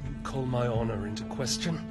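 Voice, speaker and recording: An actor playing a nervous, deferential subordinate in a video game, anxious and placating. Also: male voice, mid-range voice, a little fast, over loud music, close to an everyday voice. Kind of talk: dramatic video game acting.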